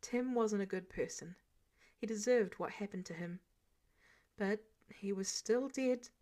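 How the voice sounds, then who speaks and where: A woman's voice: mid-range voice, unhurried, mellow. A woman reading scripted fiction aloud as a narrator. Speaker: podfic narrator.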